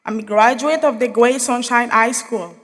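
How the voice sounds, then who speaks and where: A young woman delivers an earnest graduation speech. Her voice sits high and sounds bright and forceful. A long reverb from the big hall trails her words. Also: moderate pace. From Haiti. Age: young adult. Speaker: graduating student speaker. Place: large hall.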